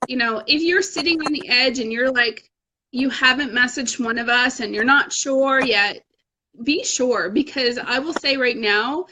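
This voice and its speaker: A woman in her thirties talks in a casual, motivational way, frank and self-deprecating. Her voice sits a little high and sounds bright and forceful. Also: measured pace, a little hiss or hum, rough recording. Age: 30s. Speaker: fitness challenge group coach.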